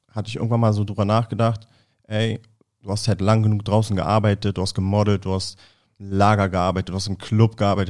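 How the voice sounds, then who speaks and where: A man in his thirties from Berlin, a football player talking casually as a podcast guest, with frequent fillers and restarts. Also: fairly low pitch. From Berlin, Germany. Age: 30s. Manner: relaxed, many fillers and restarts. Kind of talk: casual interview chat.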